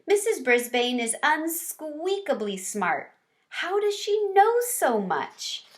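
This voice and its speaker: A woman's voice: very animated, slow pace, exaggerated voice. A woman voicing a small, curious male classroom hamster with an earnest, chirpy manner in a storybook. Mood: curious, squeaky. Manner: squeaky exclamations, earnest.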